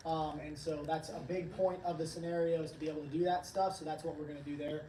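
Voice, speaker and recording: A hoarse man hosting a casual livestream, upbeat and rambling as he reads chat comments aloud. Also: on the high side, level tone, fast talker, mellow, slight background noise. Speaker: livestream host, training company staff. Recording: roomy, distant from the mic.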